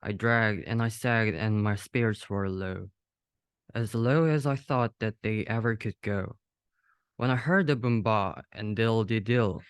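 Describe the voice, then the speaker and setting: A young man reads a rhyming children's story aloud. His voice is very smooth and clear, pitched a little low, and the sound is dry and close. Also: dry recording. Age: young adult. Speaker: student reader.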